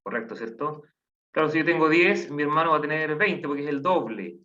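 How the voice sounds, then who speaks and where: Patient man teaching math slowly. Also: fairly high pitch, animated, pushed and bright. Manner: patient, counts aloud slowly, asks the class questions. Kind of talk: online class explanation. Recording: dull lo-fi online class audio.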